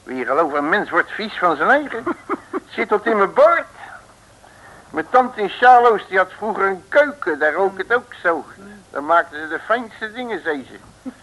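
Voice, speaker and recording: An actor playing a bearded, boastful, grumbling detective in a comic Dutch radio drama from around 1960, blustering in colloquial hesitant speech. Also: male voice, sits high, big pitch swings, measured pace, pushed and bright, exaggerated voice. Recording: noisy old radio recording.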